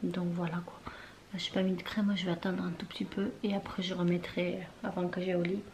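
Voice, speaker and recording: A young woman talks casually in her bedroom, rambling through loose, trailing sentences full of fillers. She often drifts into a soft near-whisper. Faint room noise sits underneath. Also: on the low side, level tone, brisk. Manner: chatty and rambling, many fillers and trailing phrases. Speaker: beauty vlogger. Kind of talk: casual chat to camera.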